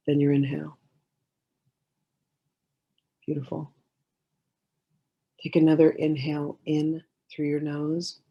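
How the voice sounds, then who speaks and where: A woman leading a guided meditation in a gentle, soothing way, giving slow instructions with many pauses. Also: low voice.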